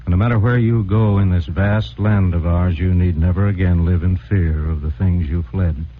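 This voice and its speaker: A deep, solemn and reassuring male editor played by a man, kindly and dignified. The sound is a tinny, narrow old radio broadcast with faint hiss. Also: fairly even intonation, moderate pace, lightly acted. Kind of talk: 1940s radio acting.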